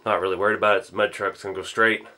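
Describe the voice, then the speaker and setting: A man with a low voice and a drawl talks through his hobby build in a home garage. He sounds a little hoarse from a cold. He rambles in a laid-back way, often trailing off with ums and shrugging uncertainty. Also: flat delivery, rapid-fire, sharp tone. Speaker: RC hobby channel host. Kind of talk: casual build walkthrough.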